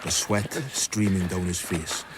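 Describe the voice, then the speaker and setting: A jaded doctor tells his story in a low voice. The delivery is wry and measured, in radio drama style, over clearly audible background noise. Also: steady tone. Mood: wry, reflective. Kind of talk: radio drama first-person narration.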